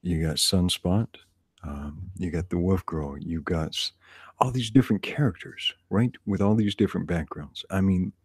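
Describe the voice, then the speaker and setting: A man in his forties with a deep, husky voice, from the rural American South. He talks in a loose, rambling way and breaks into laughter often. The sound is dry and close, with no room around it. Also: moderate pace, dry recording. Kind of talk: casual chat.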